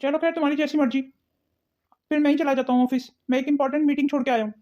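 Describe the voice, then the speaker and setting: Woman voicing a fast-talking calm young husband. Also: high voice, lo-fi sound, natural voice. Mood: reassuring. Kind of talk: Hindi cartoon family drama dialogue.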